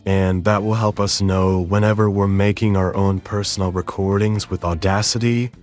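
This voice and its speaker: A man with a low voice hosts a software tutorial. He explains things step by step, with music playing clearly behind him. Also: flat delivery, moderate pace. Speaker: tutorial host. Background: background music.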